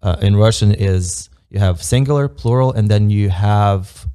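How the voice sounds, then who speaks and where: A man's voice: fairly low pitch, unhurried, dark tone, clear, resonant tone. A man from Moldova, a native Romanian speaker with a Moldovan accent, chatting casually as a guest, hesitant with many ums and easy laughs. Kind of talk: casual chat.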